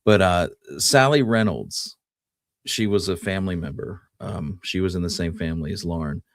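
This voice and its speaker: A man's voice: sits low, moderate pace, dry recording, studio quality. A man co-hosting a podcast, talking through history in a casual, laid-back way. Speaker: podcast co-host.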